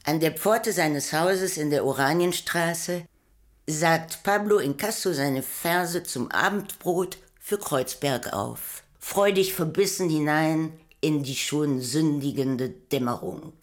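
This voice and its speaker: A woman's voice: low voice, takes it slowly. A woman playing a solemn narrator of a city at night, reading poetic text in a German radio play. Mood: solemn, unhurried.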